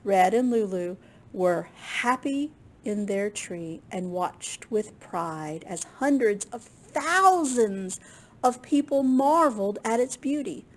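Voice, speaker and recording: A woman with a slightly husky, gravelly voice reading a picture book aloud to young children in an expressive way. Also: medium pitch, takes it slowly. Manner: expressive, with gasps and questions to young listeners. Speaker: children's librarian storyteller.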